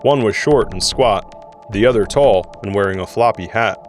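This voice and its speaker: A man narrates an audio drama in scripted prose. His voice sits on the low side, with music playing softly behind him. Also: moderate pace.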